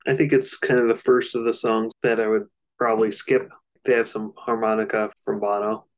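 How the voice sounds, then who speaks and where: Low-pitched man in casual music discussion. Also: flat delivery, normal speed. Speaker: podcast co-host.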